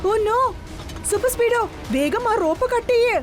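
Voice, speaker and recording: A woman's voice: very high-pitched, expressive, moderate pace, over music. A woman voicing a plucky, commanding young boy superhero in a dubbed children's cartoon. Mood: urgent. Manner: urgent, decisive.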